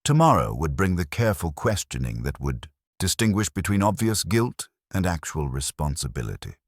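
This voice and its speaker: A man with an extremely low voice narrates a mystery story in formal, literary prose. His measured delivery carries lively rises and falls in pitch. The sound is close and dry, with no sense of a room. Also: no room sound. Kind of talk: scripted narration of a detective mystery.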